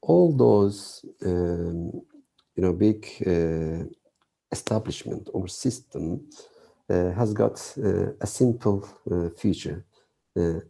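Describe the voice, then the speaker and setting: Man, novelist guest, english, halting with fillers, very dark voice, husky. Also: deep voice. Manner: thoughtful, many pauses and "uh" fillers. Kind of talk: reflective interview answers.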